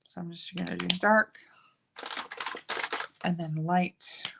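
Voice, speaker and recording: Slow, chatty woman demonstrating cards. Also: lowish voice, fairly even intonation, vocal fry, noisy background. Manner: chatty, self-narrating, laughs at own slips. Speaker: card-making demonstrator. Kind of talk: casual live craft tutorial.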